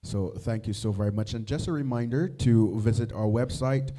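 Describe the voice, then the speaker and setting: A man with a Barbadian accent moderating a press conference, polite and measured, with frequent fillers. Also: medium pitch, warm tone. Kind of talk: formal press briefing.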